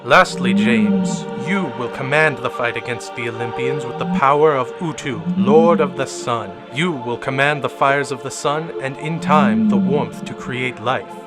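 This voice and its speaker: A man's voice: medium pitch, measured pace, few pauses, music in the background, lightly acted. Man playing an impatient, grave ancient cosmic mentor. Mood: grand, solemn. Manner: formal, solemn, portentous. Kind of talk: audio drama acting.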